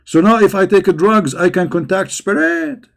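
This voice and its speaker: A man's voice: sits high, big pitch swings, moderate pace, vocal fry. A man hosting a religious debate stream, answering viewers' questions combatively and with mockery, often repeating words as he searches for phrasing. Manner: combative and mocking toward questioners.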